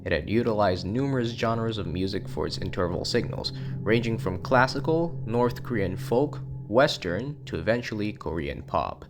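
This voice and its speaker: A man's voice: fairly low pitch, expressive, moderate pace, hardly any pauses. A man narrating an informal explainer video as its creator.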